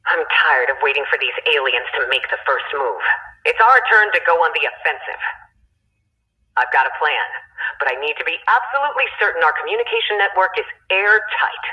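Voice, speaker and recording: A woman playing a confident, commanding resistance leader, giving deliberate mission briefings in a video game. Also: deep voice, animated, pushed and bright, poor audio. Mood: resolute, serious.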